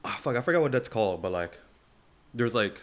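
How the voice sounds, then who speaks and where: A man's voice: mid-range voice, expressive, fairly quick. A man chatting casually as a podcast host, slangy and prone to swearing. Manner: slangy, peppered with "you know what I mean", swears freely. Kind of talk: casual chat.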